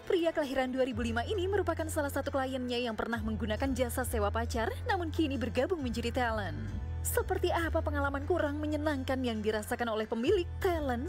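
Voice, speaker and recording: A woman reading a scripted TV profile voice-over in a measured, formal way. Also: sits high, a little mellow. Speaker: voice-over narrator.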